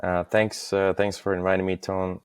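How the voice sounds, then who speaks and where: Low-voiced man, very even in pitch. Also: moderate pace, clear, resonant tone, no room sound, clean studio sound. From Estonia. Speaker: podcast guest, facade industry professional. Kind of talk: casual interview, recounting career.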